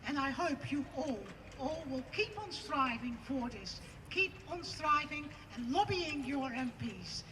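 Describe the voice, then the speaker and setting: Dutch-accented woman orating at a rally. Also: sits high. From Netherlands. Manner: declamatory, building to loud emphatic peaks. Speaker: protest speaker. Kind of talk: impassioned protest speech. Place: outdoor rally on parliament steps. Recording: distant mic, loud outdoor noise.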